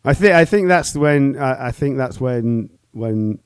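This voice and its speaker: A man's voice: mid-range voice, unhurried, clear, resonant tone, dry recording, clean studio sound. A middle-aged man co-hosting a podcast in crude casual banter, teasing and swearing. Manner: teasing, swearing, lively laughs. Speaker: podcast co-host.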